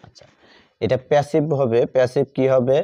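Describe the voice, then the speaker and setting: A man with a Bengali accent teaching a grammar lesson step by step, dictating in short, halting pieces and repeating himself. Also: mid-range voice, fairly even intonation, warm tone. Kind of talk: step-by-step lesson, explaining while writing. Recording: dull, muffled lo-fi audio.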